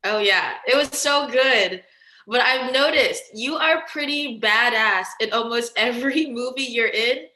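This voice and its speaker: A woman's voice: on the high side, a little slow, bright, forceful tone. A woman doing a casual, chatty entertainment interview, using informal, slangy phrasing. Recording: roomy, echoing sound.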